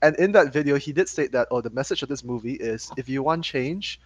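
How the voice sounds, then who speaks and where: A young man from Malaysia co-hosting a podcast, talking fast in a casual, sarcastic way and laughing often. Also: mid-range voice, expressive, no room sound, dull audio. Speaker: podcast co-host. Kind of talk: casual chat.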